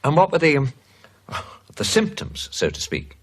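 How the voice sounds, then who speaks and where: An actor playing a careful, probing investigator in a radio drama, with polite, hesitant phrasing. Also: male voice, fairly high pitch, huge pitch swings, normal speed, heavily acted. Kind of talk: British radio drama acting.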